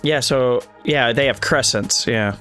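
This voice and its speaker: A man's voice: medium pitch, a little slow. A man doing a casual video game playthrough, reading the text aloud with stumbles and wry asides. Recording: game music playing behind the voice. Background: video game music.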